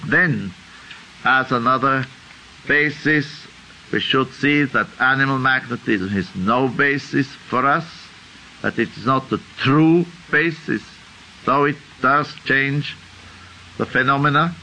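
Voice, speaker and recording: An older Swiss-accented man teaches a class in a sharp, very bright voice. He talks very slowly and deliberately, working his ideas out aloud. He often checks in with a questioning "huh?". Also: medium pitch, a little hiss or hum, poor audio. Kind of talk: unscripted lecture.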